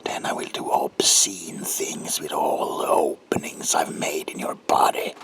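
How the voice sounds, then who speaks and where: A man playing a sadistic, gloating monstrous Victorian villain in a horror ASMR roleplay, with a whispery rasp and a slow, menacing, theatrical delivery. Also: lightly acted. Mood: cold threat. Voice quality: whispery, creaky, breathy rasp.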